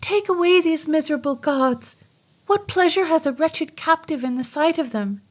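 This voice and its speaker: A despairing young princess from a storybook, as a woman puts her on, pitched well up and plaintive. She sounds anguished and forlorn. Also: moderate pace, lightly acted.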